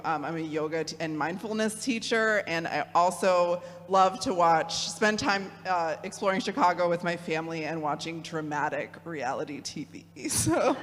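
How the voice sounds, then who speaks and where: A woman's voice: fairly low pitch, a bit bright. Midwestern woman speaking in echoing hall. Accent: American Midwest. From Michigan, USA. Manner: friendly, chatty, frequent ums and laughs. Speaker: guest speaker, theologian. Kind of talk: casual, personable lecture. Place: parish hall or church. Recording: long reverb of a church hall.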